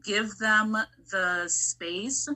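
A woman's voice: mid-range voice, fairly even intonation, extremely slow, pushed and bright. A woman hosting a casual, reflective interview, talking things through and asking questions. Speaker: podcast host interviewing a guest.

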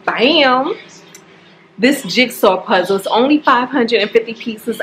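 A woman's voice: a little high, slight background noise, poor audio. Chatty woman in her forties. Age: 40s. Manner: chatty and expressive, addresses viewers as y'all. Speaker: budgeting vlogger. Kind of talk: casual direct-to-camera talk. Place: home staircase, indoors. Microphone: camera at close range.

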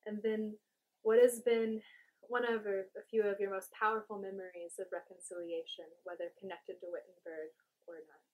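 A woman interviewer speaks off camera in a mellow, warm tone. She asks her questions softly and sounds encouraging. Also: mid-range voice, steady tone, moderate pace, poor audio.

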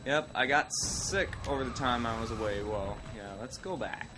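A man's voice: mid-range voice, moderate pace, noisy background. A young man with a Midwestern American accent, sick and coughing, rambling through casual gameplay commentary with self-mocking asides. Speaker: let's play host. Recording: game music playing loud underneath. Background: game music.

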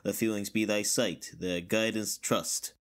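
Guy doing a wise old jedi mentor, lowish voice, slow theatrical verse, calm and solemn. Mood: sage, encouraging. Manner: measured, archaic theatrical verse. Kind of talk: Shakespearean dramatic reading.

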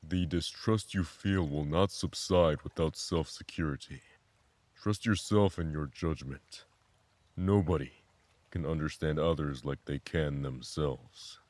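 A man playing a calm, grave, philosophical mentor in an audio drama, speaking in a measured, contemplative way. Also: a bass voice, no echo.

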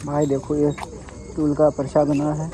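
A young man casually vlogging to his viewers at a motorbike ride meetup, talking excitedly and calling them guys. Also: on the high side, moderate pace, crowd noise, poor audio. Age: young adult. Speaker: motovlogger. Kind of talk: casual vlog narration to viewers. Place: outdoors by a highway with motorbikes. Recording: outdoor traffic and insect noise.

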